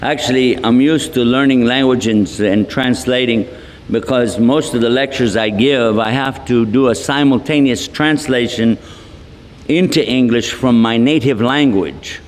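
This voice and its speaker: A man's voice: medium pitch, unhurried. Folksy Texan man lecturing in a hall. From Texas. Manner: folksy, joking, storytelling with asides. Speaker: Islamic lecturer. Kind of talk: humorous public lecture. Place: large multipurpose hall. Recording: reverberant hall from a distance.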